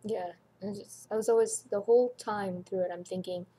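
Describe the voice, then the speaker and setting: A woman's voice: mid-range voice, steady tone, moderate pace, mellow, breathy edge, poor audio. A woman chatting casually as a commentator on a review, emphatic and incredulous, repeating her points. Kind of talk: casual chat.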